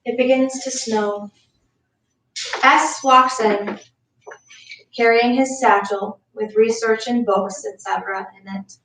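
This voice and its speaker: Slow-reading woman in an echoey room. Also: a little high, steady tone, a bit bright. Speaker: stage-directions reader. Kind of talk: scripted narration. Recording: echoey, distant mic, clear background noise.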